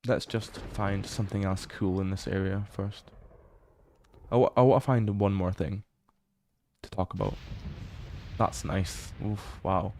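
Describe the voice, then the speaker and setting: A young British man livestreaming as he explores a game. He chats casually, swears freely and bursts into excited exclamations. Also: low-pitched, unhurried, pauses often, slight background noise.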